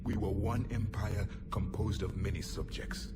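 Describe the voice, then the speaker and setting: A man plays a proud ancient alien warrior with a very deep voice, grave and commanding, his words often sinking into a cold half-whisper. He sounds set back from the mic under loud game music. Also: steady tone, unhurried, distant mic, natural voice. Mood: grim. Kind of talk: video game cutscene dialogue.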